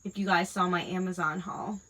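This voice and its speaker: Crafting host, woman in her 30s, stuffy nose from a cold, crisp bright tone, chatty and rambling. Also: lowish voice, fairly even intonation, brisk. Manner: rambling, many fillers. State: congested from a cold. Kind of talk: casual chat while crafting.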